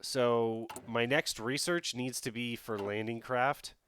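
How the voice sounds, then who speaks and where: A man's voice: medium pitch, fairly even intonation, slow pace, a bit bright, a little hiss or hum. A man streaming a strategy game live, talking casually and thinking aloud as he plays. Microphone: headset.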